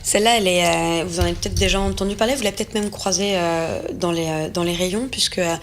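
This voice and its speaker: A woman's voice: on the low side, fairly even intonation, fast talker, slight background noise. A young woman co-hosting a food segment, chatting casually and enthusiastically while tasting, with many hesitations. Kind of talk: casual tasting chat on a show set.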